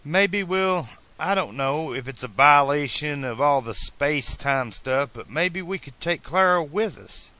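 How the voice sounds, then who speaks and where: A man voicing an earnest, excitable teenage boy in an audiobook, talking in an emphatic, colloquial, pleading way. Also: fairly high pitch, measured pace, dry recording, pitched above the performer's own voice. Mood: tentative. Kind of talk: audiobook character dialogue.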